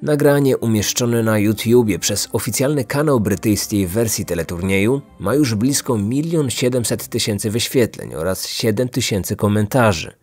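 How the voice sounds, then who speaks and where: Low-voiced man narrating true crime. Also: normal speed, few pauses, darkish tone, slightly rough, soft background music. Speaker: true crime podcast host. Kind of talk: scripted narration.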